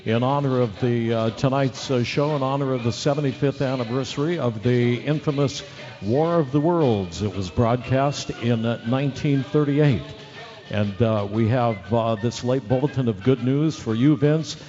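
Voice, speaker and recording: A man working as a radio announcer, reading show credits live in a playful, drawn-out style. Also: medium pitch, warm tone. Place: live theater hall. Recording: dull hall recording with faint music.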